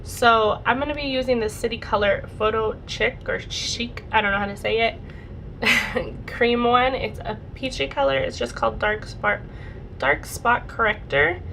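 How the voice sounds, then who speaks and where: A young woman vlogger chatting casually through a makeup routine, her voice a bit raspy and her nose congested from a cold. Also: on the high side, a little slow, bright, forceful tone, a little hiss or hum. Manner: chatty, rambling, lots of "like" and "super". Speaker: beauty vlogger. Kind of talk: casual makeup tutorial chat.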